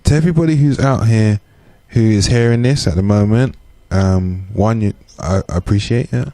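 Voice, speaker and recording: Male radio guest, British accent, deep relaxed voice, earnest and measured conversational delivery. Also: dry recording. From UK, born to Caribbean parents. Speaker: guest, community organisation chair.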